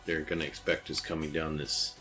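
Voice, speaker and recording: A man playing a tabletop game, planning moves with halting speech. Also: very deep voice, flat delivery, sharp tone, soft background music. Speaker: player. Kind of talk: casual tabletop game chat.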